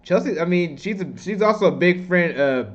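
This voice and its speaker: A man with a slightly high voice, recorded in dull, muffled lo-fi audio. He talks as an online commentator, giving opinions in a loose, slangy, rambling manner. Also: animated, normal speed.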